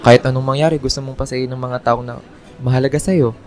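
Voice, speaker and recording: A man's voice: mid-range voice, steady tone, fast talker, a little mellow, soft background music, natural voice. A man playing a friendly, sincere young crush in a Filipino radio drama, easygoing in manner. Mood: sincere, fond. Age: young adult.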